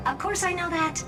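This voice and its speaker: A woman's voice: very high-pitched, full character voice. A woman voicing a self-assured, flippant genius scientist in a video game, breezy and smug. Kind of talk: scripted video game acting. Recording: music behind the voice.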